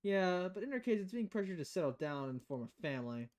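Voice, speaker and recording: A low voice for a stern, tired female bartender in a visual novel, as a woman puts her on. She is dry and blunt, speaking in a measured deadpan. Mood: blunt advice.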